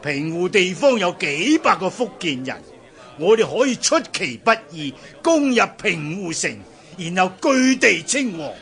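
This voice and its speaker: Bold hearty merchant chief, high bright voice, slow declamatory delivery, Hong Kong Cantonese accent, historical radio play. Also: male voice, big pitch swings, gravelly, heavily acted. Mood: grave, defiant. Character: bold, ambitious eldest sworn brother, merchant leader.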